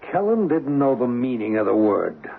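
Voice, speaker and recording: An actor playing a cynical, blunt embassy official in an old-time radio drama, gravelly, sardonic and slangy. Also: male voice, medium pitch, big pitch swings, moderate pace, heavily acted. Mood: sardonic, weary. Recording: tinny band-limited old radio broadcast.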